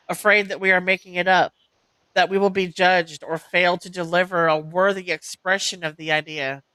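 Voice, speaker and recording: A woman reading oracle card meanings aloud as host, in a measured, deliberate way with an occasional stumble. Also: lowish voice, sharp tone, smooth, no room sound, low-quality recording.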